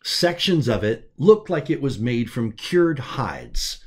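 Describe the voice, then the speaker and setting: A male author narrates a science fiction audiobook. His delivery is scripted and dramatic, in a voice of medium pitch. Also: sing-song, takes it slowly, slightly rough.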